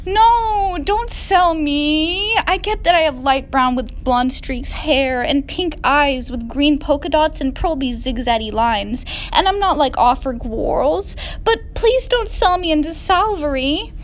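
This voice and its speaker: A woman's voice: sits high, unhurried, few pauses, pitched above the performer's own voice. A woman voicing a naive, melodramatic young heroine of a parody fanfiction, by turns wailing and shy. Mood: shrill distress. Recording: clear background noise.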